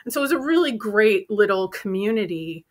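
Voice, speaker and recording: A woman's voice: mid-range voice, a little fast. A woman in her thirties chatting casually as a podcast co-host, telling stories and acting out the voices of the people she quotes, speaking from home through an earbud mic on a video call. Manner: animated storytelling, acts out quoted voices. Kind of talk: casual chat. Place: home room with bookshelves and poster. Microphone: earbud headset on video call.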